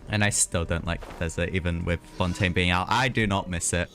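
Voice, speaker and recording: A man's voice: low-pitched, steady tone, a little fast, a bit bright, a little hiss or hum. A man chatting casually and ramblingly as he streams a video game, talking to his viewers. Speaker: live streamer. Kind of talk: casual gaming livestream chat. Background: music.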